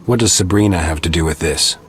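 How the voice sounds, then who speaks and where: A man playing a gruff, stoic monster hunter in a video game, speaking tersely and deadpan in a gravelly voice. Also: sits low, fairly quick, slight background noise, lightly acted. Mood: blunt, questioning. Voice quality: gravelly, husky. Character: gruff, stoic male monster-hunting witcher. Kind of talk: video game dialogue acting.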